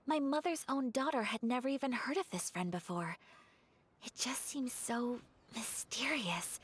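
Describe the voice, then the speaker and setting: A woman playing a shy, earnest young noblewoman in an anime game, speaking formally and gently. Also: high-pitched, a little hiss or hum.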